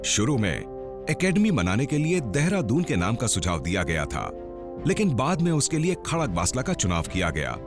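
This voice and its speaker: A man's voice: mid-range voice, huge pitch swings, moderate pace. A man giving scripted, dramatic documentary narration. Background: music.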